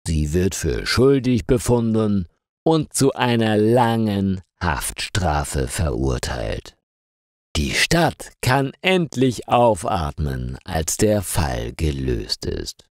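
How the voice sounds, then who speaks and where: A man narrates a story in a lowish voice with a lot of rise and fall. He takes his time over each phrase, reading clearly and deliberately. The sound is dry and close. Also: big pitch swings, dry recording. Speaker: story narrator for German learners. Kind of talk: slow scripted story narration.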